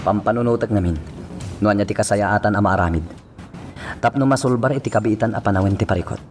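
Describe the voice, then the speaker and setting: A dutiful police chief in a radio drama, played by a man, firm and commanding. The voice is fairly deep with an Ilocano Filipino accent, set over a clear music bed. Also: quick pace, natural voice. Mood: resolute. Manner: firm, authoritative.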